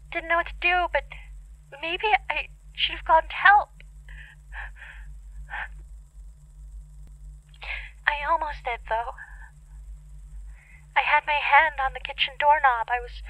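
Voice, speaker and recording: Scared young teen girl, high voice, halting confessional horror-drama narration, dry muffled lo-fi sound. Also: no echo. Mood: scared, guilty. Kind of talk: dramatic first-person horror fiction narration. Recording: dull, lo-fi, band-limited audio.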